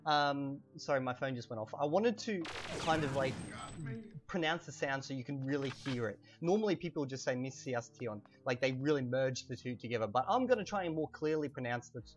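A man in his thirties with an Australian accent, chatting through a language lesson while playing a game, slowing down on key words, on a cheap desk mic. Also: mid-range voice, soft background music. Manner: chatty, animated, drawn-out slow spelling of words. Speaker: language teacher and gamer. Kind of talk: casual lesson over gameplay. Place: home room, webcam. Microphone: cheap desk microphone.

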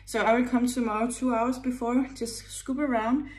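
A woman's voice: a little high, fairly even intonation, normal speed. A young woman in her twenties with a Danish accent, chatting casually in a vlog, often laughing and hunting for English words. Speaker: vlogger, gym worker. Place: kitchen at home, indoors. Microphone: phone at arm's length.

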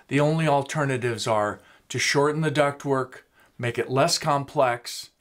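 Steady man explaining in a workshop. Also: mid-range voice. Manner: steady, explanatory, gestures while talking. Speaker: woodworking instructor. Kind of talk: scripted instructional explanation. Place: small home workshop, indoors.

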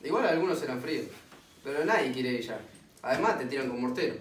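A man's voice: mid-range voice, lively intonation, quick pace, lightly acted. A man with an Argentine accent playing a curious young conscript newly arrived at a war dugout, in stage play dialogue. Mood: plain, inquisitive. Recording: echoey distant room, faint noise.

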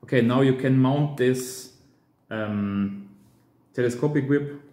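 A man in his thirties walks through a DIY build at a slow pace. His English is simple and non-native, given in halting steps at a medium pitch. The room around him adds a clear echo. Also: dark tone. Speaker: amateur radio hobbyist presenter. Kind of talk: hands-on DIY tutorial. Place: hard-walled room indoors at a table. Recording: roomy, echoing indoor sound.

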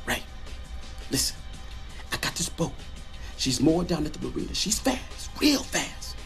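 An actor playing a jittery, paranoid American radio disc jockey in a video game, with an urgent, stammering delivery. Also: male voice, on the high side, big pitch swings, unhurried, creaky, fairly bright tone, soft background music, heavily acted. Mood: urgent, nervous.

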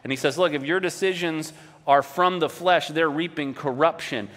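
A man's voice: fairly high pitch, normal speed, a bit bright. A man preaching as a pastor in a conversational, explanatory way, often checking in with rhetorical questions. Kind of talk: sermon, teaching from scripture in a conversational way. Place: large indoor hall, speaking to a congregation. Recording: long reverb of a large hall.

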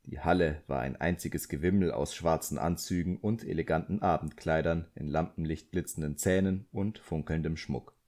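Low-voiced male audiobook narrator. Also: steady tone, moderate pace, hardly any pauses, a little mellow. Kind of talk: scripted literary narration.

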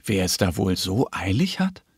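A man narrating a scripted children's story in a careful, expressive way. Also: deep voice, normal speed, breathy edge, dry recording, studio quality.

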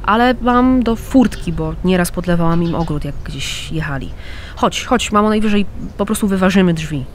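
A woman's voice: fairly low pitch, animated, moderate pace, slight background noise, close to an everyday voice. Woman acting a worried, determined daughter, faint ambience. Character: worried, determined adult daughter, a concerned neighbour. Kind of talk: naturalistic radio crime drama acting.

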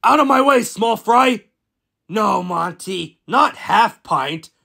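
A man's voice: high voice, lively intonation, slow pace, gravelly, sharp tone, slightly rough, exaggerated voice. A man voicing a cocky, boastful bully of a male dump truck in a cartoon, taunting and jeering. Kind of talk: cartoon character voice reel.

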